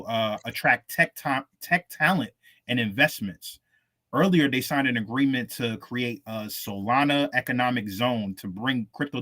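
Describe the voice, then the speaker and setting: A man's voice: medium pitch, fairly bright tone. A man co-hosting a casual crypto podcast, reading out news stories in a laid-back, slangy way. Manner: laid-back slangy delivery, calls listeners "fam". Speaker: co-host.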